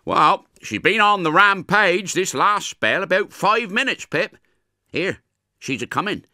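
A man's voice: sits high, expressive, a little slow, bright, forceful tone, no room sound, clean studio sound, voice pushed up, full character voice. A man doing a mild, simple village blacksmith.

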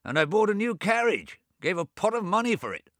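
Brief: a man doing a proud, sickly old gentleman near eighty, grumbling high and loud, dry close sound. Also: very animated, brisk, dry recording, heavily acted. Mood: querulous. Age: 80s. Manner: querulous, complaining, boastful. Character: gruff ailing elderly rich man, proud.

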